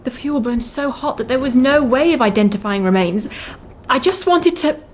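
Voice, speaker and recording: A woman's voice: high voice, normal speed. A woman playing a tender, overwhelmed lover reunited with someone lost, acting emotionally in an audio drama. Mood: earnest, grieving.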